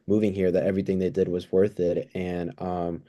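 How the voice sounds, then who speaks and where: Male interview guest, twenties, deep and very soft-toned, fairly even delivery, earnest. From United States, born in El Salvador. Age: 20s. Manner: earnest, halting, thoughtful. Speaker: interview guest, pre-physical therapy student. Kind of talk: casual interview answers.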